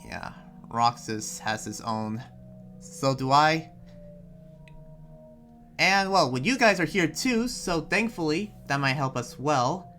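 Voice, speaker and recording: A man playing an earnest, protective young town heir in an improvised anime game roleplay, talking in a halting, conversational way. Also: on the high side, expressive, bright, forceful tone, heavily acted. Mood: earnest, thoughtful. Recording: background music under the voice.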